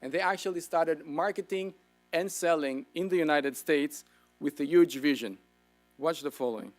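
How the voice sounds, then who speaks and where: A man's voice: a little high, normal speed, soft background music. A man with an Israeli accent, lecturing an audience with enthusiasm and asking them questions. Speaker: speaker.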